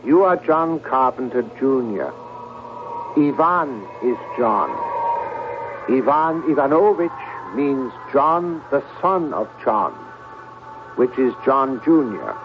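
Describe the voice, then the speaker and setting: Slow, measured male psychiatrist. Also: medium pitch, animated. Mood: insistent. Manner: measured, deliberate, insistent. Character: calm, probing male psychiatrist confronting a patient. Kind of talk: radio drama acting. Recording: narrow tinny old radio broadcast, hiss.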